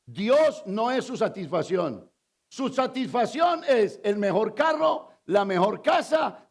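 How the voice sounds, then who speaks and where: A man from Colombia with a Colombian Spanish accent, preaching a sermon as pastor, quizzing the congregation and swinging between loud emphatic lines and low confiding asides. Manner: call-and-response preaching, asks the congregation to fill in words.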